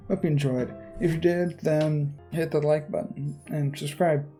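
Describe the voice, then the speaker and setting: A man's voice: a little high, fairly even intonation, a little slow, warm tone. A man hosting a game video, reading visual novel text aloud dramatically with casual commentary and some stumbles, game music behind him. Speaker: gaming video host.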